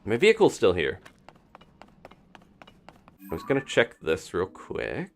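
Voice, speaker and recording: Lively low-voiced man streaming a game. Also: soft background music. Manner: chatty, wondering aloud, quick to laugh. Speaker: streamer playing a video game. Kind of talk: casual live-stream gameplay commentary.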